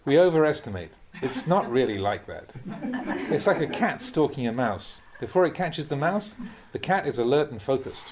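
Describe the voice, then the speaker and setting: A man reading a translated Buddhist dharma talk aloud like an audiobook, in a measured, expressive way. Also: mid-range voice, crowd noise.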